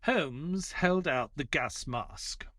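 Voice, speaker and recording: A man's voice: fairly high pitch, animated, extremely slow, bright, forceful tone, no room sound, studio quality, exaggerated voice. An actor playing a reserved former army man who is companion to a detective and narrator of an audio play. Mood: reflective.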